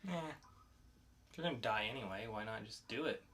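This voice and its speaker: A man's voice: fairly low pitch, fairly quick, pushed and bright. A man co-hosting a podcast, talking informally in a casual review chat. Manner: informal, conversational. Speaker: podcast co-host reviewing comics.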